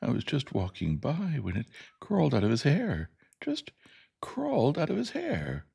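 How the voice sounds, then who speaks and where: A man's voice: on the low side, sing-song, normal speed, gravelly, darkish tone, slightly rough, voice pushed up, exaggerated voice. A man voicing a prim, easily shaken young woman schoolteacher in an audiobook story, speaking politely and properly. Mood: shaken, desperate.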